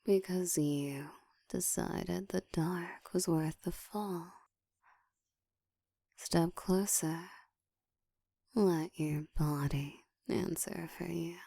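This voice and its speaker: Casting: woman to play an ancient female vampire, dominant and seductive, low hushed whisper, extremely slow and deliberate, intimate ASMR roleplay. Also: steady tone, no echo, studio quality, heavily acted. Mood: teasing, possessive. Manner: breathy whispering, slow deliberate teasing delivery.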